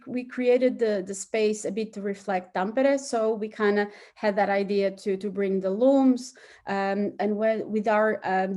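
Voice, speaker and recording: A woman's voice: medium pitch, mellow. A woman from Portugal, answering conversationally as an interview guest. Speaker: interview guest, start-up hub operations chief.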